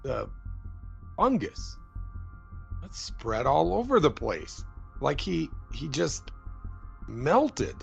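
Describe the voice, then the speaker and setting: An actor playing a level-headed, doubtful sailor in a radio drama, speaking in a measured, dramatic way. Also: male voice, a little high, sing-song, vocal fry, husky, faint music behind, heavily acted. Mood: puzzled, uneasy.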